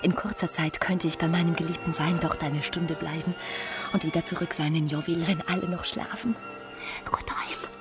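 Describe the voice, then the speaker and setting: A woman acting a passionate, anxious, yearning young wife in a radio drama, with an intense and breathy delivery. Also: on the low side, wildly swooping pitch, normal speed, over music. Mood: longing, excited.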